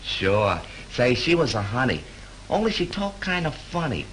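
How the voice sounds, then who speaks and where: An actor playing a chatty young hotel bellhop who tells a story in breezy slang, in 1930s film acting. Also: male voice, mid-range voice, sing-song, moderate pace, a bit bright, rough recording. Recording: hissy 1930s film soundtrack.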